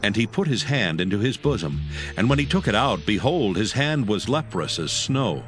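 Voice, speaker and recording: A man's voice: lowish voice, big pitch swings, normal speed. A man narrating a dramatized scripture reading as its storyteller. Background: music.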